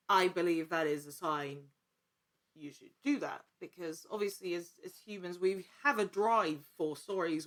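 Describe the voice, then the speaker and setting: A woman talking casually as an interview guest, earnest and thinking aloud in broken phrases. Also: on the low side. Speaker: podcast guest, actor and actual-play storyteller.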